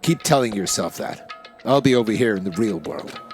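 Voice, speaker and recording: A man's voice: on the low side, lively intonation, a little fast, music in the background, voice pushed down. A man voicing a cold, aloof, cynical teenage boy in a visual novel game. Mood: cold cynicism.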